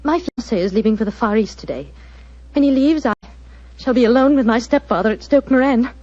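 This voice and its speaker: A woman's voice: fairly high pitch, expressive, brisk, a little mellow, no room sound. A frightened, genteel young English lady. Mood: dread. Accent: British. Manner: tremulous, dramatic, well-spoken. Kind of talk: old-time radio drama acting. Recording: dull, muffled old radio recording.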